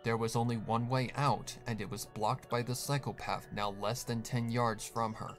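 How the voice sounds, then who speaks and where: Male narrator, dramatic horror reading, hushed steady delivery, music underneath. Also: mid-range voice, moderate pace. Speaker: fanfic reader, narrator.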